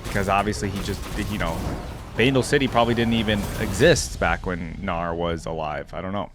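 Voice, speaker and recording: A man's voice: low-pitched, fairly quick, over music. A man hosting a reaction video, giving casual commentary and musing aloud.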